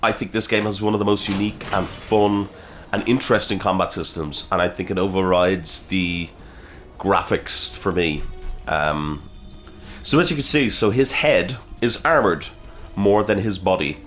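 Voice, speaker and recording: Brief: low-voiced male game host, a bit sniffly from a cold, casual and swearing, faint game music underneath. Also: moderate pace, fairly bright tone. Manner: chatty, swears, reacts aloud while fighting. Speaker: gaming video host. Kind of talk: casual gameplay commentary.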